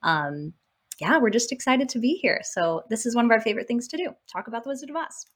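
A woman's voice: a little high, lively intonation, fast. A woman who co-hosts a podcast, chatting as a guest with gushing enthusiasm. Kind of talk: casual chat.

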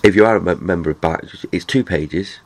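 Male podcast host, very low voice, stuffy nose from a cold, rambling chatty delivery. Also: brisk, husky. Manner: rambling, chatty, many restarts. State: nasal from a cold. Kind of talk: casual chat.

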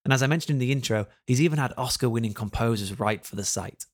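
A man hosting a podcast, reading a smooth scripted intro and then asking relaxed, friendly interview questions. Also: medium pitch, fast. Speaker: podcast host.

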